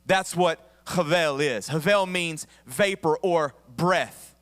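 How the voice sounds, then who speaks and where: Southern pastor preaching with a worn voice. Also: male voice, on the high side, slow pace, fairly bright tone, no echo, big-room sound. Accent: Southern American. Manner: conversational preaching with asides and humor. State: voice somewhat worn, losing his voice. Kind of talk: sermon. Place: church sanctuary.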